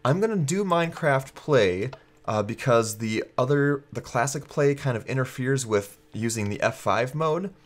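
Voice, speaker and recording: A man's voice: mid-range voice, lively intonation, normal speed. A man with a stuffy, congested nose hosting a gaming video, explaining things in a casual, conversational walkthrough style. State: congested with a cold. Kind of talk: casual game walkthrough commentary.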